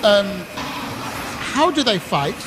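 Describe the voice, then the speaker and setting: An actor playing a blunt, exasperated city watch captain in a live audio drama. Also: male voice, high voice, very animated, takes it slowly, over a noisy crowd, heavily acted. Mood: puzzled. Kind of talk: live audio theatre acting. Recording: noisy live stage recording, reverberant hall.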